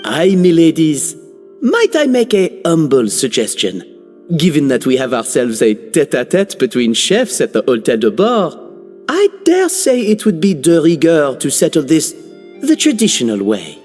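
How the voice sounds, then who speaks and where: An actor playing a theatrical, courtly agent who uses flowery, showy old-world phrasing. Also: male voice, a little high, huge pitch swings, measured pace, darkish tone, faint music behind. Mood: playful. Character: theatrical, courtly male agent, grandly polite. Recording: reverb.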